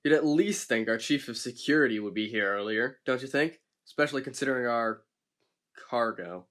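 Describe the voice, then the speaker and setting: A man voicing a grumbling ship captain in an amateur audio drama, deadpan and grumpy. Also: medium pitch, a little fast, close to an everyday voice. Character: grumbling middle-aged male ship captain.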